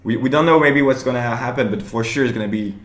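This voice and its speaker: A man talking through his own improv show in a relaxed commentary, halting and restarting often, laughing along the way. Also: medium pitch, roomy sound. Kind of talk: casual commentary over show footage.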